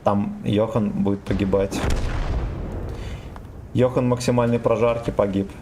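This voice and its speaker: A man's voice: lowish voice, steady tone, moderate pace, mellow, a little hiss or hum. A young man streaming a tank game, joking with chat and swearing freely. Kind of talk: casual game stream chatter.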